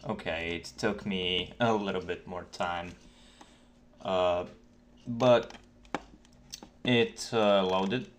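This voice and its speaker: A man with a low voice explains game modding in a casual tutorial. He talks slowly and haltingly, stopping often between short phrases. Light background noise and keyboard clicks sit behind him. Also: steady tone. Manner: halting, hesitant explaining with many pauses. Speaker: tutorial narrator for game mods. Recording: noisy desk mic, keyboard clicks. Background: keyboard typing and mouse clicks.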